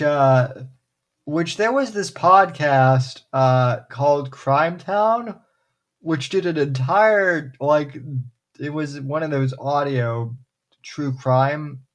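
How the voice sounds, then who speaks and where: A man hosting a podcast in casual chat, talking in a halting way with many pauses and restarts. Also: fairly high pitch. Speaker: podcast host.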